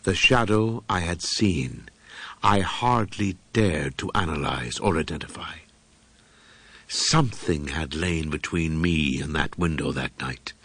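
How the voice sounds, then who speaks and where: A man narrating a first-person horror audiobook in a solemn, brooding, deliberate manner with dramatic pauses. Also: low voice, a bit bright, husky, no echo.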